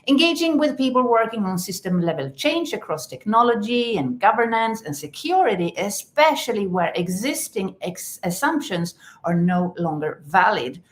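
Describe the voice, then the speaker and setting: A woman's voice: mid-range voice, big pitch swings, normal speed, few pauses. Effusive woman hosting a live interview. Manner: enthusiastic, effusive. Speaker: podcast host. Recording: echoey room.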